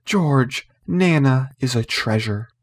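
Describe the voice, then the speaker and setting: Guy doing a kind reassuring mum from a storybook, gentle with her husband. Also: medium pitch, expressive, takes it slowly, no echo, voice pushed up. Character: gentle, reassuring mother and wife. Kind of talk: storybook character voice.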